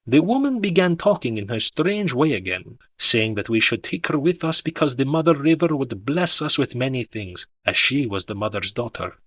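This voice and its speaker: A man narrating an audiobook in a measured, dramatic storytelling manner. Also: mid-range voice, very animated, fairly bright tone, no echo. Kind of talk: expressive scripted audiobook narration.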